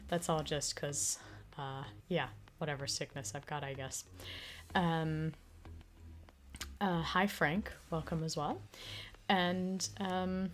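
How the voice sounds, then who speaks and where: A woman streamer chatting casually with her viewers, rambling with many fillers, her throat still slightly raspy from an illness. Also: low voice, fairly even intonation, slow, pauses often. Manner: rambling, full of fillers and restarts. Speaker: streamer and audio creator. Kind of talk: casual livestream chat.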